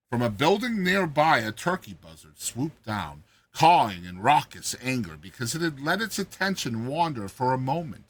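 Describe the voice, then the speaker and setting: A man narrating a short story in a theatrical, expressive, dramatized reading style. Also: fairly high pitch, breathy edge. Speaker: narrator, host of a reading series.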